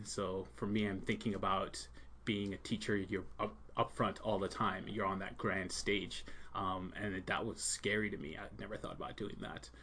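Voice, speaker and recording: Man, middle-aged, Jamaican-born, lowish voice; soft-spoken interview guest, reflective with frequent ums. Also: a little hiss or hum. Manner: soft-spoken, many ums, thoughtful pauses. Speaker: school leader and art teacher. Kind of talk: casual podcast interview.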